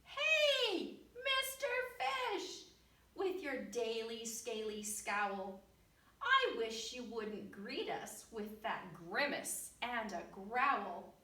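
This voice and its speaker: A woman voicing a gentle male jellyfish in a high, lilting, pleading voice. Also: sing-song, extremely slow, heavily acted. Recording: echoey room, distant mic.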